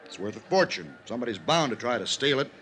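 Anxious older business manager, noisy recording. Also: male voice, medium pitch, big pitch swings, quick pace, a bit bright. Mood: urgent, insistent. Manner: earnest, urgent, pleading. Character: anxious, insistent older male business manager, protective and evasive. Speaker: actor playing a business manager in a radio mystery serial. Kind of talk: old-time radio drama acting. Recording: noisy old radio recording.

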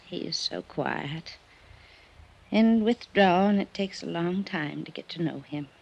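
Frail older woman, slow and gravelly. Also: on the low side, exaggerated voice. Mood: wistful, fond. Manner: wistful, lingering, sentimental delivery. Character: frail, weary, wistful older woman reminiscing tenderly. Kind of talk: old-time radio drama acting. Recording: dull, noisy old radio recording.

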